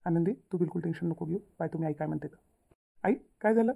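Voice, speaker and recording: A woman's voice: fairly low pitch, fast, gravelly, slightly rough. A defensive, soothing young newlywed son, played by a woman, speaks through tinny, phone-like cartoon audio. He hurries through pleading explanations in a Vidarbha Marathi accent, apologetic and eager to placate. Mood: concerned, soothing. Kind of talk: cartoon family drama.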